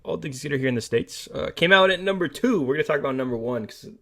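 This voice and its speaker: A man's voice: fairly high pitch, lively intonation, fast, creaky, fairly bright tone. Skeptical man chatting about movies. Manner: skeptical, dry, pessimistic. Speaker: podcast co-host. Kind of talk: casual movie discussion.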